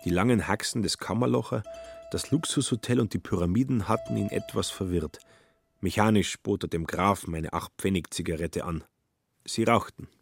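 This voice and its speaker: A man narrating a literary radio play with a husky voice and a wry, dry storytelling manner. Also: low voice, normal speed, faint music behind.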